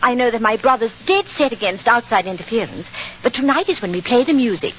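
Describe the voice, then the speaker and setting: An anxious young woman in an old radio play. The voice is high and bright, rising and falling dramatically as it hurries on earnestly. The 1940s recording is muffled and lightly hissy. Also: lively intonation, brisk. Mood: anxious, pleading. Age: young adult. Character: anxious, breathless young lady client pouring out troubles.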